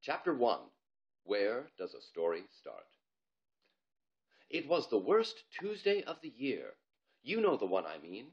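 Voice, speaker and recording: A man's voice: fairly low pitch, dull audio. A man, an author, reading his children's story aloud in a theatrical, comic storytelling manner. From Canada.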